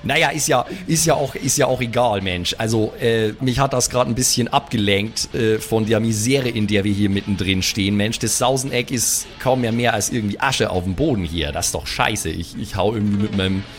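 A man's voice: on the low side, a little fast, few pauses, faint music behind, lightly acted. A man voicing a grumbling older male pirate who complains in a folksy way. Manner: grumbly, folksy, keeps saying "Mensch".

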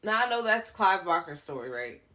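Low-voiced woman co-host, rambling. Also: moderate pace. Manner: excited, rambling, fond of monsters. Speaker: podcast co-host. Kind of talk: casual horror podcast chat.

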